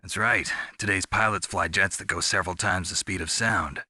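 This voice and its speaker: Gruff tired soldier guy from a video game, low raspy voice, dry wry delivery. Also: moderate pace, dry recording, heavily acted. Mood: curious, matter-of-fact. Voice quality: gravelly, husky. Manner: terse, wry, deadpan. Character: gruff, world-weary male covert soldier. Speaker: soldier on a mission. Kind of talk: scripted video game voice acting.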